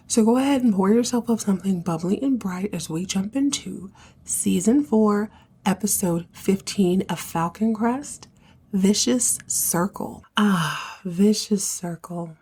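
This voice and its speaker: Hushed, husky-voiced woman podcast host. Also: medium pitch. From United States. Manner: hushed, half-whispered delivery. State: partly lost voice. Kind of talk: soap opera recap podcast.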